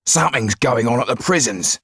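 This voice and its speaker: Man playing a very raspy, blunt loyal friend. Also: mid-range voice, expressive, fairly quick, fairly bright tone, dry recording, pitched above the performer's own voice. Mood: urgent. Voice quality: husky, gravelly rasp.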